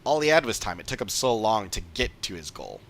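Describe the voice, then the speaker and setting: A man's voice: fairly low pitch, sing-song, a little fast, vocal fry, a bit bright. A man giving casual, off-the-cuff livestream commentary as a game streamer, emphatic and repeating points for stress.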